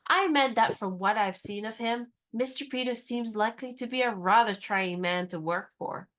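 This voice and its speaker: An actress in a radio play playing a spirited young woman disguised as a lady's maid, talking in a brisk, teasing, knowing way. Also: fairly high pitch, fairly bright tone, lightly acted.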